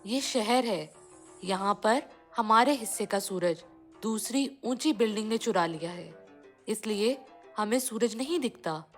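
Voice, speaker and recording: A woman's voice: medium pitch, lively intonation, measured pace, faint music behind, lightly acted. A woman voicing a breezy city aunt in a cartoon, explaining things to a child matter-of-factly.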